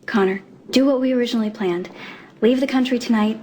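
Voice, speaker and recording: A woman's voice: on the high side, fast talker, darkish tone, natural voice. An actress playing an earnest young woman, devoted to her fiancé, speaking with pleading emotion. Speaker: young bride-to-be in a soap opera. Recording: noisy TV soundtrack.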